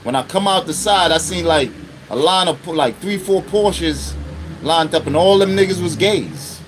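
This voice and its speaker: A man's voice: fairly high pitch, a little slow, noisy background. A man in his fifties hosting an unscripted live stream, talking bluntly and profanely and often checking in with his listeners. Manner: blunt and profane, with constant rhetorical check-ins.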